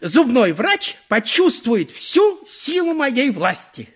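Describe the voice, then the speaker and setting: An actor playing a pompous, lecherous high priest in a theatrical radio play, speaking in an oratorical, unctuous way. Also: male voice, huge pitch swings, slow pace, husky, full character voice. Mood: indignant, scheming. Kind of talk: 1980s Soviet radio play acting.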